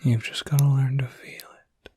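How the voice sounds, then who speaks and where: Male actor as kind werewolf caretaker, ASMR roleplay, breathy hushed whisper, soothing, hesitant, close and dry sound. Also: medium pitch, level tone, normal speed, no echo. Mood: gentle, encouraging. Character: gentle, reassuring male werewolf caretaker, pleasant and patient. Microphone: close mic.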